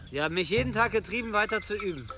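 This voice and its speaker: A young pilgrim in a radio play, probing and intent. His voice is high for a man and moves animatedly, with music and outdoor sound behind it. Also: lively intonation, rapid-fire, sharp tone. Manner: inquisitive, intent. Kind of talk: German audio drama acting.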